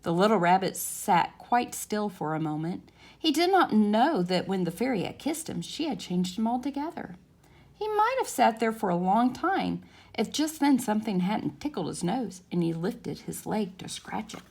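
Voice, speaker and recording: A woman narrating a children's storybook as a story time reader, in a measured, expressive way. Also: medium pitch, vocal fry.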